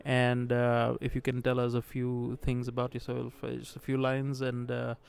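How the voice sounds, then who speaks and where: A man's voice: mid-range voice, completely flat delivery, moderate pace, gravelly, clear, resonant tone. A man interviewing a conference speaker, hesitant with many fillers.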